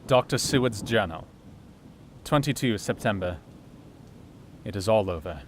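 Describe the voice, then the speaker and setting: A man's voice: on the low side, lively intonation, measured pace, noisy background. An actor playing a sober, compassionate Victorian doctor, narrating his diary reflectively in an audio drama. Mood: sombre, admiring.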